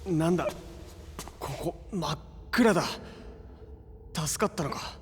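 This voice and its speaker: A man's voice: on the high side, takes it slowly, slight background noise. A man playing a brash, hot-blooded young superhero in a Japanese audio drama, with cocky, slangy, exclamatory delivery. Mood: bewildered, frustrated. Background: prominent music.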